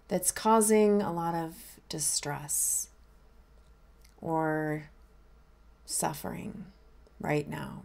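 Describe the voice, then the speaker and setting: A woman minister leading a guided meditation in a gentle, unhurried, inviting way. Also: lowish voice, gravelly.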